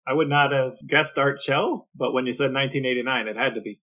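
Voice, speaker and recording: A man's voice: mid-range voice, a little fast, sharp tone. An American man co-hosting a podcast with casual, conversational talk. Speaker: podcast co-host.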